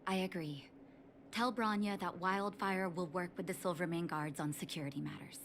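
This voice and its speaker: An actress playing a calm, shrewd underworld doctor in a video game, poised and deliberate with a probing manner. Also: female voice, fairly low pitch, a little hiss or hum. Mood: measured agreement. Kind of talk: scripted video game voice acting.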